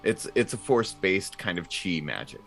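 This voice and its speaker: A man's voice: sits low, expressive, moderate pace, gravelly, a bit bright, soft background music. A man playing a tabletop role-playing game, chatting casually and thinking aloud as he describes his moves. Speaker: player in a tabletop RPG. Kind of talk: casual improvised game chat.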